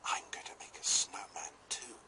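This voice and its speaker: A man voicing a wide-eyed, excitable young boy in a children's storybook, breathy and full of wonder. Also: normal speed, whispered. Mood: eager, hushed.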